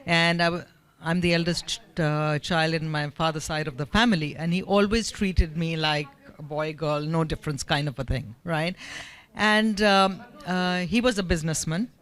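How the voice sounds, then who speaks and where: A woman in her fifties from India, telling an animated personal story with many fillers from the floor of a forum. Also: deep voice, normal speed. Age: 50s.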